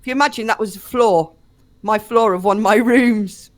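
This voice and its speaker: A woman's voice: medium pitch, normal speed. A woman telling personal anecdotes in a casual chat as a live stream guest.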